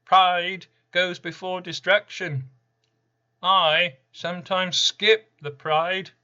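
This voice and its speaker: Smug fifth horseman played by a man, taunting and deadpan, higher-pitched male voice, very unhurried delivery, poetic monologue. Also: smooth, dull audio, full character voice. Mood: sly, smug. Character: RanDumb, smug casual fifth Horseman of the Apocalypse. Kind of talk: dramatic poetry monologue.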